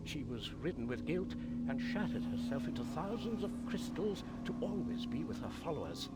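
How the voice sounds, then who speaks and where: Brief: elderly game monk, gentle and bewildered, highish lilting voice, halting delivery, music underneath. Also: male voice. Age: older. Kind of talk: video game character dialogue. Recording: game dialogue over prominent music.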